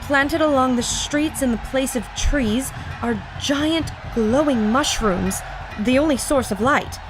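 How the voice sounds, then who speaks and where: Earnest female adventure reporter, actress, higher register, narrating a dramatized field recording for an audio drama. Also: slow pace, music in the background, rough recording. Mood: awed, reporting.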